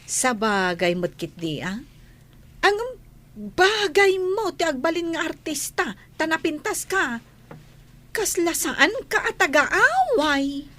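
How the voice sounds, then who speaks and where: A gossipy household maid with a high, very animated voice. She drawls slowly and teasingly in an Ilocano accent, radio comedy style. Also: full character voice. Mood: chatty, teasing.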